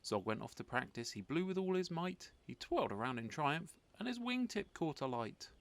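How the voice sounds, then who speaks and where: Male narrator, children's read-aloud, expressive, animated intonation, creaky voice. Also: on the high side, moderate pace. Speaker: storybook reader.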